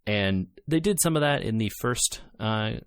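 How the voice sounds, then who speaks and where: Low-voiced man chatting about games. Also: lively intonation, measured pace, smooth, no room sound, clean studio sound. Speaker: podcast co-host reviewing games. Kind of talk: casual chat about video games.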